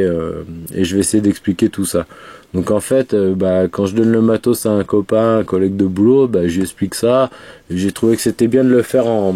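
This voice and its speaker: Male, congested, casual vlogger, mellow low voice. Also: no echo. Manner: rambling, many fillers and restarts. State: has a cold, congested. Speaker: vape reviewer. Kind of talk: casual product review vlog.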